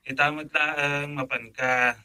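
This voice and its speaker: Fond teasing uncle, ilocano accent, really sharp bright voice, a bit high, radio drama. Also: male voice, level tone, slow, exaggerated voice. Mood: generous, directive. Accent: Ilocano Filipino. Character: fond, teasing uncle giving his niece advice.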